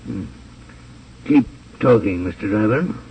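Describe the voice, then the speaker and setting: An actor playing a cautious, businesslike American drug wholesaler with a hesitant manner in a 1950s radio drama. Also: male voice, fairly low pitch, lively intonation, unhurried, creaky, slightly rough, full character voice. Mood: wary. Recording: distant, dull, noisy old radio transcription.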